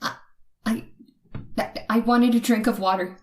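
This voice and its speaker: An actress playing a terrified young woman who narrates her night of terror in old-time radio suspense style, dramatic and halting. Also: a little high, fairly even intonation, lightly acted. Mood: nervous, caught out.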